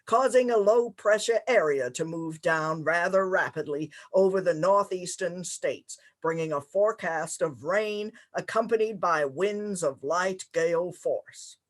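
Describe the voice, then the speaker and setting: Formal 1930s radio announcer, acted in a live reading. Very slow, deliberate, composed, mid-range voice. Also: mid-range voice, natural voice. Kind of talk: old radio announcing, scripted.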